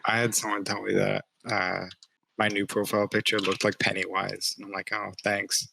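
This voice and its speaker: Gravelly low-voiced man, easygoing. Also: flat delivery, moderate pace, noisy background. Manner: joking, easygoing, self-deprecating. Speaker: guest artist. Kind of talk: casual livestream interview.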